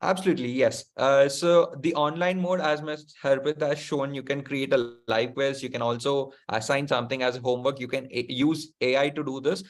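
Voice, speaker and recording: A man's voice: mid-range voice, steady tone, moderate pace, few pauses. A young man presenting a webinar, answering questions politely and reassuringly, recorded on a video call in a small room. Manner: polite, reassuring, says ma'am often. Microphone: webcam on a video call.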